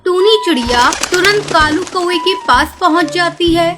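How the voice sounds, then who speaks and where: Very high-pitched woman narrating a children's story. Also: expressive, moderate pace, over music.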